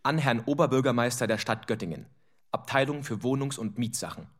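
An actor playing an officious Nazi-era official, formally reading out letters in a documentary radio play. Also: male voice, mid-range voice, lively intonation, fairly quick, natural voice. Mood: officious, cold.

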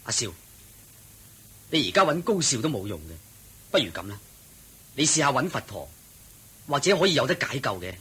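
A man's voice: mid-range voice, sing-song, moderate pace, pauses often, fairly bright tone, breathy edge, heavily acted. A man with a Hong Kong Cantonese accent playing a helpful servant who explains things obligingly in a radio drama.

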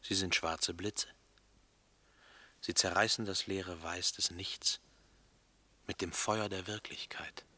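Husky, brooding low-voiced lover. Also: male voice, fairly even intonation, slow, long pauses, bright, forceful tone, no echo, full character voice. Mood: dark, lyrical. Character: brooding, dreamy male lover speaking in dark poetic images. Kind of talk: German radio play acting, poetic and hushed.

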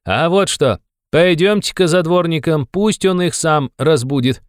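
A man voicing an excited, quick-witted very young man with a ringing, eager way of explaining in an audiobook of a classic novel. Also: fairly high pitch, smooth, no room sound, studio quality, pitched above the performer's own voice. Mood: urgent, excited.

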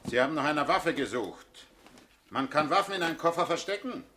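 An actor playing a commanding, coolly mocking party chief in a German radio play, brusque and needling. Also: male voice, fairly high pitch, lively intonation, fairly quick, background noise, close to an everyday voice. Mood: stern, probing.

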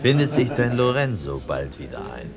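An actor playing an earnest, pleading potter in a German radio play, with a husky voice. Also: male voice, on the low side, very animated, normal speed, over music. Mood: coaxing. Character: earnest, pleading potter obsessed with a blue pigment.

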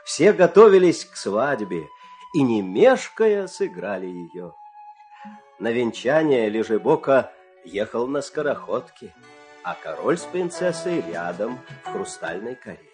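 A man narrating a fairy tale dramatically, expressive, with measured pauses. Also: mid-range voice, music in the background.

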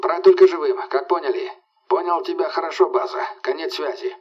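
A man's voice: fairly high pitch, fairly quick, breathy edge, pitched above the performer's own voice. A man voicing terse soldiers over a walkie-talkie, clipped and official, through a radio filter in an audiobook. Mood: urgent, official. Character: terse military men calling over a radio. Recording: muffled, distant lo-fi radio transmission.

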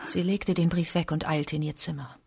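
A woman's voice: low-pitched, fairly even intonation, moderate pace, creaky, a little mellow, breathy edge. A woman narrating a literary radio drama as a storyteller.

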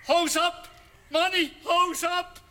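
A man's voice: very high voice, steady tone, takes it slowly, sharp tone, exaggerated voice. A man playing a panicked villager who cries out frantically in a radio play. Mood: frantic. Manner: frantic, crying out. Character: panicked villager crying out at a vanishing moon. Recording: reverberant, distant, noisy.

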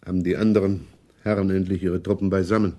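An actor in a German radio play playing a weary, pious old prince who speaks in a resigned, halting, devout way. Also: male voice, sits low, level tone, dark tone.

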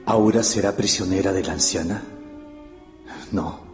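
A man's voice: low voice, unhurried, darkish tone. An actor playing an infatuated, brooding young man who thinks aloud intensely in a radio drama. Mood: troubled wondering. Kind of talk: radio drama acting, interior monologue. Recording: music bed under the voice.

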